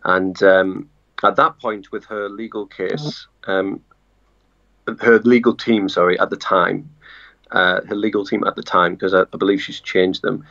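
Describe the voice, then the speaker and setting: A man with a northeast English accent, speaking as a journalist guest in a casual remote interview, halting with frequent pauses. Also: sits low, fairly even intonation, vocal fry, a bit bright, dull audio. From northeast England.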